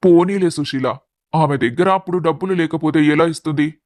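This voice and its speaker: A man's voice: a little high, fairly quick, darkish tone, no echo, studio quality, natural voice. A man voicing a mild, earnest middle-aged family man in a narrated story. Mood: placating.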